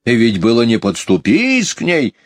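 A man's voice: mid-range voice, huge pitch swings, moderate pace, dry recording, clean studio sound, voice pushed up. A man voicing a gossipy, fussy, scatterbrained housekeeper woman in a storybook, gushing in a sing-song way. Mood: grumbling, fussy. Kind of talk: audiobook character voice.

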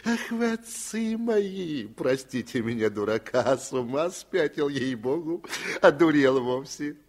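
A man voicing a gray-haired village steward, slightly drunk, gushing and flattering his master in a sing-song way. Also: on the high side, a little slow, voice pushed up. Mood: fawning.

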